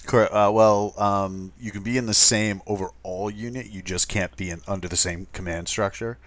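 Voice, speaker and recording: A man co-hosting a podcast, talking loudly with many hesitant fillers as he reads chat comments. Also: fairly low pitch, fairly even intonation, moderate pace. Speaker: podcast co-host reading chat. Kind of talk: casual chat.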